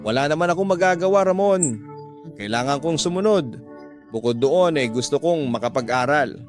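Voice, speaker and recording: A man's voice: fairly high pitch, expressive, normal speed, close to an everyday voice. A man voicing a shy, dutiful young woman, anxious in love, in a radio drama. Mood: resigned. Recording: music bed under the voice.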